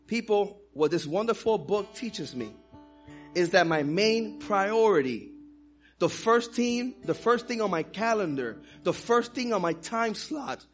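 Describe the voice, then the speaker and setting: A man's voice: sits high, a bit bright. A man from the Dominican Republic preaching a sermon with fervor, weaving in personal stories. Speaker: pastor.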